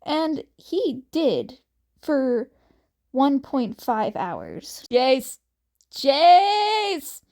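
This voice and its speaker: High-voiced woman reading a story, unhurried. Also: huge pitch swings, mellow. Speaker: amateur fan fiction reader. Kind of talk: scripted story narration, podfic.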